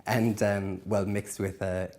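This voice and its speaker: Hesitant low-voiced sculpture student, man. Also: flat delivery, slow pace, darkish tone. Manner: hesitant, many ums. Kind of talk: documentary interview.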